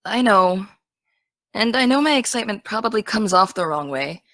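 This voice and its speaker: A dry, close voice in an audio drama: a young woman playing an excitable American teenage girl. Her pitch is average for a woman. She talks casually, teasing one moment and earnest the next. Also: normal speed, no echo, lightly acted. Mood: earnest, sincere. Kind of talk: naturalistic audio drama dialogue.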